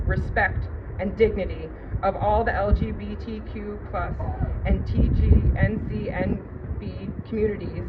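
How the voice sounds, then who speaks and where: A woman delivering a formal public speech at a ceremony, reading out an official proclamation. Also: mid-range voice. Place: outdoor public ceremony at a city hall. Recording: noisy outdoor public-address recording, muffled, traffic.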